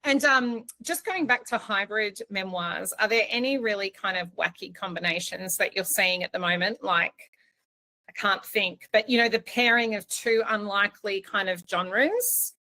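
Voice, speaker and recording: Female podcast host, bright tone, mid pitch, casual interviewing. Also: normal speed, no echo.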